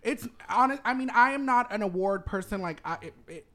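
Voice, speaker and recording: A man's voice: high voice, expressive, brisk, sharp tone. A man co-hosting a comedic chat show, talking in a playful, campy way and laughing often. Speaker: co-host.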